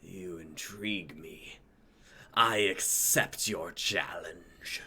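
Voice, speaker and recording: Slow, husky, menacing dragon king. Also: low-pitched, bright, forceful tone, full character voice. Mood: intrigued, haughty. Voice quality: breathy growling whisper. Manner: slow, grandiose, archaic speech. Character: vengeful ancient dragon king, imperious and menacing. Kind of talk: dramatic script reading.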